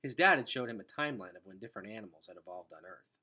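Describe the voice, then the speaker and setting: A man reading a children's novel aloud as narrator, with expressive delivery. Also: lowish voice, racing, breathy edge.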